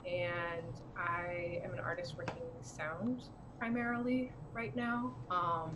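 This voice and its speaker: Slow, hesitant woman on a noisy call. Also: lowish voice, steady tone. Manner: hesitant, many fillers. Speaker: guest artist. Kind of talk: self-introduction on a streamed salon. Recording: noisy video call audio.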